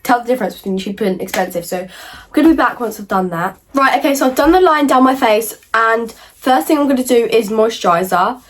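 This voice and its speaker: A young woman, croaky from a cold, chatting casually through a makeup tutorial with lots of "like" and "um". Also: mid-range voice, slight background noise. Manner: chatty, rambling, many fillers like "like" and "um". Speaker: beauty vlogger. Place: at home in front of a mirror.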